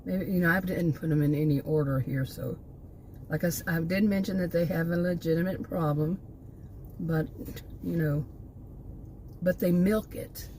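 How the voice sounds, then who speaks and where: Woman, sixties, slightly hoarse, low voice, warm and mellow, rambling monologue, keeps restarting sentences. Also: steady tone, normal speed, long pauses, slight background noise, rough recording. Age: 60s. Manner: rambling, often stops to restart and lose her thread. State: losing her voice. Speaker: channel host.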